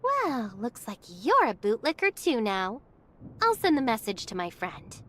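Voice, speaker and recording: A woman's voice: on the high side, huge pitch swings, a little slow, fairly bright tone, full character voice. A woman playing a confident, teasing but caring young female guide in an anime-style game. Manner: breezy, teasing.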